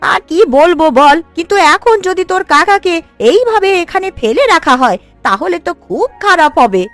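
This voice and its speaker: A woman voicing an expressive, fretful village housewife in a cartoon, with a gossipy, nagging way of talking. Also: very high-pitched, normal speed, faint music behind, full character voice. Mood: worried. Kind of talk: cartoon voice acting.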